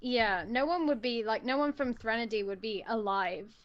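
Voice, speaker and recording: A chatty, giggly woman co-hosting a casual online stream, her voice sitting fairly high. The sound is dull and lo-fi, like audio over a call, and she fills her talk with little laughs and "like"s. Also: normal speed. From born in the United States, lives in England. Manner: chatty, giggly, lots of fillers. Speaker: co-host.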